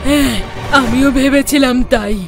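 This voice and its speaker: Woman playing a loud, vengeful female ghost. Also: sits high, fairly even intonation, normal speed. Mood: bitter accusing. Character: vengeful ghost of a murdered young woman, bitter and menacing. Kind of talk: animated horror story acting. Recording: music behind the voice.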